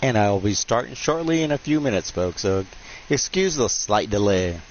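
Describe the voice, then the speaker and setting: A man's voice: on the low side, expressive, normal speed. A man hosting a live game stream, chatting casually in a nasal, joking, self-deprecating way. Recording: dull lo-fi stream mic with faint hiss.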